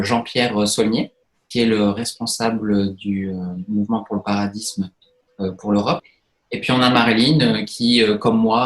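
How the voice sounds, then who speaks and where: A man hosting an interview, who introduces guests and asks questions haltingly with many fillers. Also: on the low side. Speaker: host. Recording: roomy echo.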